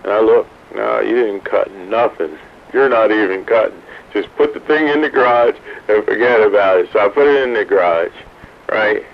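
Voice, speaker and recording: A man's voice: on the low side, normal speed, gravelly. A man chatting casually over CB radio in folksy slang, through a muffled, noisy transmission. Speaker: radio operator.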